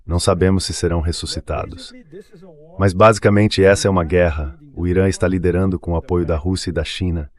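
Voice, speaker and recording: A man's voice: deep voice, steady tone, brisk. A man with a Brazilian accent giving dubbed political commentary as an interview guest.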